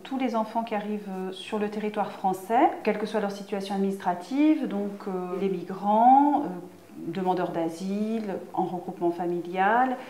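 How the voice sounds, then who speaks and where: A woman's voice: mid-range voice, moderate pace, mellow. A woman education official giving a formal explanation in an interview, recorded in an echoey room. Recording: echoey room with faint noise.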